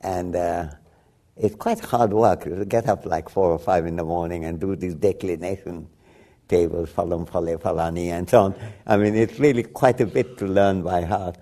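An older man, a native Bengali speaker from Bangladesh, talking reflectively as an interview guest, often restarting and laughing softly. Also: deep voice, normal speed. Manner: rambling with frequent restarts and soft laughs. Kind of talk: reflective conversation.

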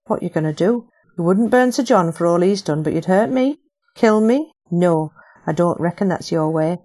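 A woman's voice: lowish voice, expressive, normal speed, dark tone. A woman voicing a desperate young working woman searching for her brothers in an audiobook. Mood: pleading. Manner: pleading, urgent.

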